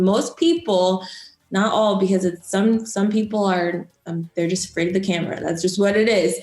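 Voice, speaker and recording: A young woman from Florida who works as a news anchor, giving chatty, encouraging advice with many fillers. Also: mid-range voice. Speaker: broadcast journalist and news anchor. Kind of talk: casual interview advice. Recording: roomy echo, faint music.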